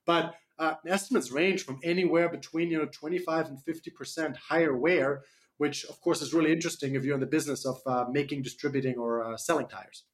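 Interview guest: man with a German accent, somewhat high-pitched, halting delivery full of "uh"s. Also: fairly even intonation. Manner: hesitant, many fillers and restarts. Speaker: guest, startup CEO. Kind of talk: radio interview.

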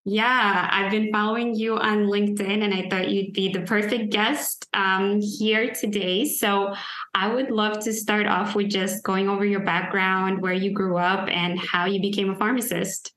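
A woman hosting a podcast, reading a measured introduction of her guest and then chatting with her. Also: medium pitch, flat delivery, fairly bright tone, a little hiss or hum. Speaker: podcast host. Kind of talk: scripted intro then casual interview.

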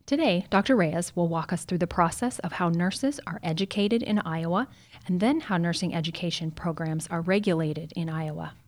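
Woman hosting a podcast, formal polite intro, kinda low voice. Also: normal speed. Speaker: podcast host. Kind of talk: scripted podcast intro and interview questions.

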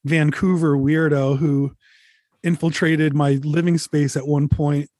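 A man in his forties, a touring musician, telling candid road stories in a relaxed, rambling way, swearing freely. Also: on the high side, measured pace, no room sound. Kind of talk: casual interview, candid storytelling.

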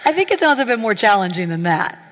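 A woman's voice: mid-range voice, sing-song, fast talker, fairly bright tone. A woman hosting an onstage interview, asking questions in an upbeat, playful way. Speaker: interviewer, host. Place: event stage in a large hall. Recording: reverberant hall.

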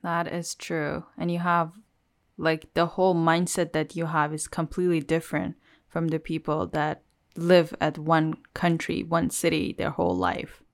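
Woman podcast host, chatty and upbeat, slightly low voice. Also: little pitch movement, a little slow, vocal fry. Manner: chatty and enthusiastic, laughs often. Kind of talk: casual podcast interview.

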